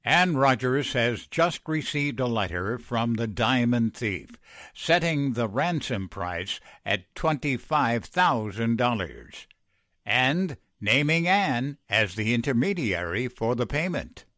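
A man's voice: mid-range voice, sing-song, takes it slowly, a bit bright, no echo, clean studio sound. A man announcing a 1940s-style radio drama in a theatrical, scripted manner.

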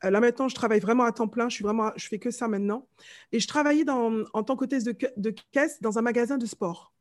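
A middle-aged woman from Martinique with a light Martinican accent, a vocal coach telling stories in a casual interview, animated and fond of mimicking voices. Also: on the high side, quick pace. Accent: light Martinican French.

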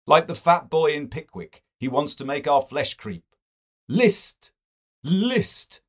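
Man doing a sardonic, raised-pitch literary scholar. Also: animated, slow pace. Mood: mocking.

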